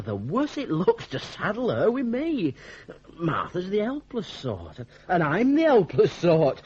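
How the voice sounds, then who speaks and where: An actor in a British radio drama playing a gormless, reluctant, soft-hearted young son with a Northern English accent and a hesitant, stammering way of protesting. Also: male voice, a little high, husky, heavily acted. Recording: dull, muffled old radio recording.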